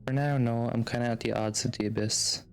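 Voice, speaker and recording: A man's voice: mid-range voice, steady tone, brisk. A man streaming a video game, giving casual, wry commentary and quipping back at the characters. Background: soft game music.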